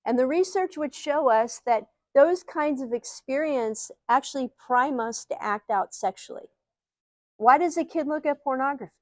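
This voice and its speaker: A woman's voice: high voice, moderate pace, no echo. A middle-aged woman from Ohio with a Midwestern accent, teaching an audience earnestly and often posing rhetorical questions. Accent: Ohio Midwestern American. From Ohio, USA. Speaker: speaker, psychologist. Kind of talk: live teaching talk to an audience.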